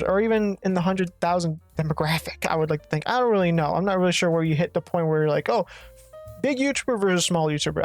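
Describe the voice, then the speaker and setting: A young adult YouTuber with a slightly raspy voice, rambling through a casual, profane rant and often correcting themselves mid-sentence. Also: medium pitch, a little mellow. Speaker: faceless gaming YouTuber.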